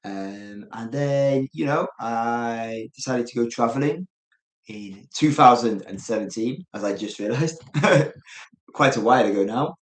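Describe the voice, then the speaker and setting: A man's voice: medium pitch, a little slow, roomy sound, poor audio. A man with an English accent chatting casually and unscripted as he hosts a live stream, rambling and thinking aloud. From England. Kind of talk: casual unscripted self-introduction to viewers.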